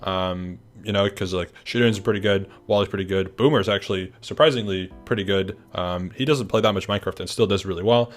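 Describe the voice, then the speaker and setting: Casual young male host, under the weather and hoarse, upbeat and rambly, low-ish voice. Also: brisk, hardly any pauses. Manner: upbeat, rambling with many fillers. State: sick, losing his voice. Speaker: event host announcing teams. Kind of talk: casual video announcement.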